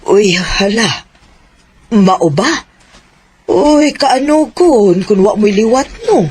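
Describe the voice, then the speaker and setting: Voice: medium pitch, expressive, slow pace, a little mellow, husky. An actor playing a caring, devout mother of a grown son in a Filipino radio drama, with a Filipino accent. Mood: concerned.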